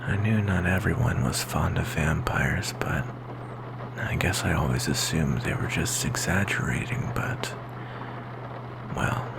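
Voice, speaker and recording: A man playing a melancholy, insecure vampire boyfriend in an ASMR roleplay, speaking softly and hesitantly in a gravelly whisper. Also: very deep voice, heavily acted, poor audio. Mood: anxious, insecure. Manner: soft, hesitant, intimate, slow with pauses.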